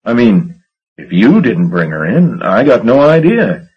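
A man's voice: mid-range voice, sing-song, measured pace, slightly rough, pitched above the performer's own voice, exaggerated voice. A man voicing a flustered, gaunt young shipping agent who stammers and babbles. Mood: nervous babbling. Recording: dull lo-fi recording.

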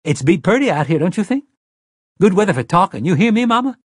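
A man voicing a harsh, crude, fierce woman who speaks in a rough dialect and brooks no argument. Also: lowish voice, very animated, quick pace, no echo, clean studio sound, pitched above the performer's own voice. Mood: taunting.

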